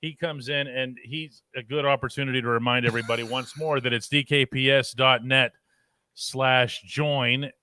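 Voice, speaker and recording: A man hosts a sports talk program, moving briskly through plugs and viewer comments. His voice is bright with some edge. The recording is close and dry. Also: mid-range voice, steady tone, dry recording. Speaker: host, sports columnist.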